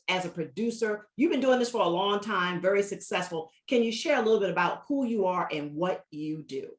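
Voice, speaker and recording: A woman's voice: mid-range voice, reverberant. A woman hosting a talk show, speaking in an upbeat, emphatic way and often turning to the audience. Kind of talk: hosted interview.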